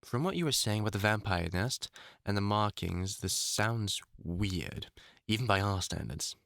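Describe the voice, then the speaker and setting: A man playing a gruff but caring, experienced vampire hunter in an audio roleplay, middle-aged, talking informally with dry, teasing asides. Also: fairly low pitch, expressive, moderate pace, a bit bright, dry recording. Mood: reading aloud, measured. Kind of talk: intimate audio roleplay acting.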